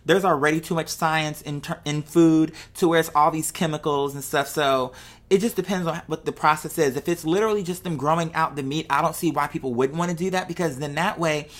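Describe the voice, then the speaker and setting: A woman with a low-pitched voice speaks in a casual, unscripted vlog style. She thinks aloud as she goes, wandering conversationally through her points and often turning to the listener with rhetorical questions. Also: a little fast. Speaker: vlogger. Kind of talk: casual off-the-cuff monologue, thinking aloud to viewers.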